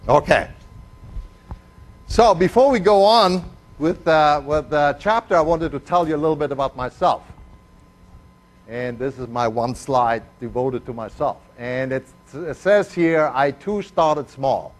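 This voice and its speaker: An older man from Argentina, a native Spanish speaker, gives a chemistry lecture with a mixed foreign accent. He is digressive and self-deprecating and pauses often on "uh.". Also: fairly high pitch, expressive. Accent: mixed foreign accent, Spanish first language. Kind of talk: university lecture.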